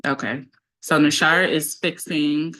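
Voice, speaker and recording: A woman with a low yet bright, forceful voice hosts a live-stream chat over a video call. She talks casually and at length, steering the conversation and keeping things moving. Her delivery is loose and conversational. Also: fairly even intonation, a little slow, low-quality recording. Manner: chatty, sorting out connection problems aloud. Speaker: livestream host.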